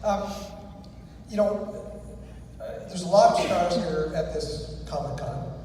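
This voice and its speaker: A man's voice: sits high, takes it slowly, warm tone. A man moderating a convention Q&A panel, enthusiastic and addressing the audience. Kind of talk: convention Q&A interviewing. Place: large convention hall. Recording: echoey hall, distant mic, noisy.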